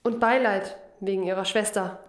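An actress playing a guarded young night-shift worker in a radio crime play, dry, matter-of-fact and evasive. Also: female voice, medium pitch, steady tone, normal speed, roomy sound, a little hiss or hum, natural voice.

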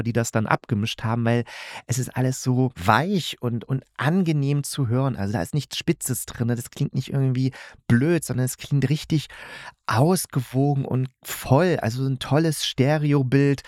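A man's voice: mid-range voice, darkish tone, no room sound, clean studio sound. A man hosting a casual review monologue, talking enthusiastically and in a rambling way as he searches for words. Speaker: podcast host.

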